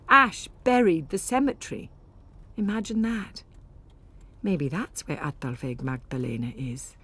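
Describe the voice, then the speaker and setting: A woman's voice: fairly low pitch, fairly bright tone. A woman narrating a literary first-person story as a scripted audiobook reading.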